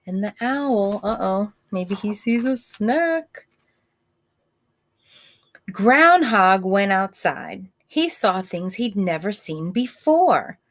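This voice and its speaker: A woman reading a picture book aloud like a teacher, in simple child-directed speech, pausing to ask questions and explain words. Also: medium pitch, expressive. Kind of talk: read-aloud storytime with asides and questions.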